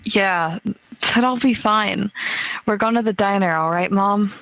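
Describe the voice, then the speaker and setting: Woman playing teenage boy, protective, blunt, casual, radio drama, mid pitch, dry recording. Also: a little slow, a bit bright, no echo, rough recording. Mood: reassuring. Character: teenage boy, loyal protective older brother, brash. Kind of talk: amateur radio play acting.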